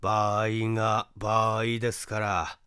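A man acts out a reserved, grieving brother in a mystery audiobook. His voice is low and very slow, polite and hesitant. The sound is dry and close. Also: level tone, bright, forceful tone, smooth, no echo. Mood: subdued, explaining. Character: reserved, grieving young man recalling his dead sister. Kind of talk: audiobook character dialogue.

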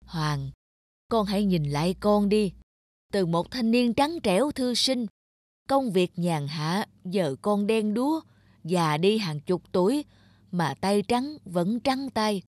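A woman's voice: medium pitch, animated, slow pace, darkish tone, dry recording, studio quality. A woman voicing a weary, worried elderly father in a cartoon, admonishing and hesitant. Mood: anxious reproach. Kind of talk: cartoon dub acting.

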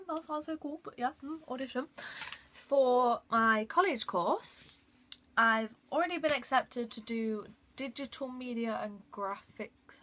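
A woman's voice: high voice, fairly bright tone, slight background noise. A young woman vlogging casually from her bedroom, rambling with lots of fillers and little giggles. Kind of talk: casual vlog chat. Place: bedroom, indoors. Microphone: phone camera at arm's length.

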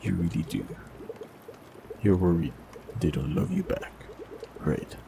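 A man with a very deep, gravelly voice speaks calmly and gently to the listener. His delivery moves freely up and down. A clear hiss sits under the recording. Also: measured pace. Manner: calm, gentle, reassuring. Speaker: warlock in an audio roleplay. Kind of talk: scripted audio roleplay, speaking to the listener.

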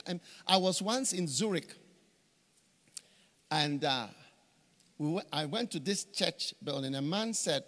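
A middle-aged man preaching at a conference on a stage, telling stories with many repeated phrases, speaking into a handheld mic. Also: high voice. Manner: animated storytelling with repeated phrases. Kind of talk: conference sermon. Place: large church stage with hall reverb. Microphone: handheld microphone. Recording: reverberant hall.

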